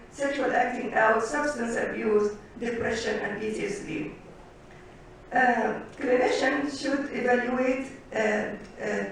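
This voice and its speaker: Woman lecturing in an echoey hall. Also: a little high. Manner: halting, many pauses and fillers, reads out lists. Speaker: conference speaker, physician. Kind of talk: conference presentation. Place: conference hall. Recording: echoey hall, distant mic, faint noise.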